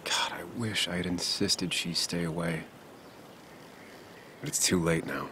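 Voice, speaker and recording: An actor playing a weary, remorseful journalist in a video game, plain-spoken and subdued. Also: male voice, sits low, unhurried, background noise. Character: weary, remorseful male journalist grieving a loss. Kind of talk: video game cinematic dialogue.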